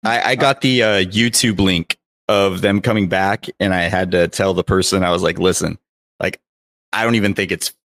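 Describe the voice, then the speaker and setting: A man's voice: sits low, fairly even intonation, moderate pace, a bit bright, no echo. A man co-hosting a podcast, chatting casually in a blunt, dismissive way. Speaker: podcast co-host.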